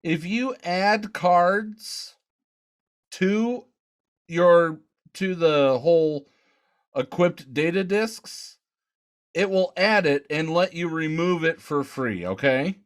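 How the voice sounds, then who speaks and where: A man's voice: fairly high pitch, slow pace. A man co-hosting a gaming podcast, chatting casually and thinking aloud as he tries things out in a game. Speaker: podcast co-host.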